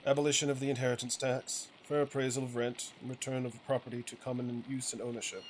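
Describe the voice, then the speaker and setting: A man's voice: mid-range voice, flat delivery, fast talker, close to an everyday voice. A man voicing a weary, resentful printer and father from a video game, curt, firm and reproachful, with a righteous streak. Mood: earnest, righteous. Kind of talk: acted reading of video game dialogue. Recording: clearly noisy game ambience behind voice.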